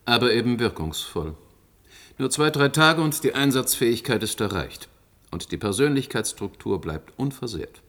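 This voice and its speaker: An actor playing a self-assured institute president in a science fiction radio play, speaking in a formal, official manner. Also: male voice, mid-range voice, big pitch swings, moderate pace, a bit bright.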